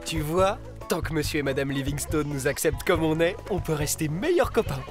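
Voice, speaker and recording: An actor voicing an excitable male fox in a children's cartoon, with a bouncy, teasing, exclamatory manner. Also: mid-range voice, fairly quick, music in the background, close to an everyday voice. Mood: friendly. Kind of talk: animated cartoon acting.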